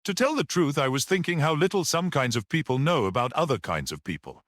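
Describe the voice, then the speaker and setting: A man voicing a mild, absent-minded, good-humoured priest in a mystery audiobook, musing and gently explanatory. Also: fairly high pitch, very animated, fairly quick, no room sound, studio quality, pitched above the performer's own voice. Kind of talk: audiobook character reading.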